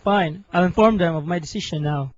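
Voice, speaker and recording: A man's voice: sits high, a little fast, no echo, lightly acted. A young man playing a lovesick, self-sacrificing hero in a student radio drama, earnest and melodramatic. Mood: desperate, resolute. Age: young adult. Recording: muffled amateur recording, music behind.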